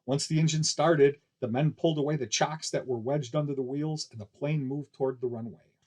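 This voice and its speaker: A male narrator reads fiction aloud in a scripted storytelling style. His voice sits slightly high for a man and has a husky, unpolished grain. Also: fairly even intonation, brisk, darkish tone. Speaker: audiobook narrator reading a novel.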